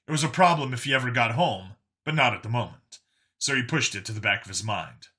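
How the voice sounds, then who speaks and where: A male audiobook narrator reading scripted fiction. His voice sits at an average pitch and has a strikingly sharp, forceful brightness. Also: animated, brisk.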